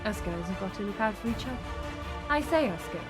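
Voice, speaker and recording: A woman's voice: medium pitch, animated, a little fast, over music, close to an everyday voice. A woman playing a scheming, desperate female villain with an imperious manner in an audio drama. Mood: scheming, measured. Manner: imperious, commanding. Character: scheming, desperate female villain, rival time traveller in failing old body.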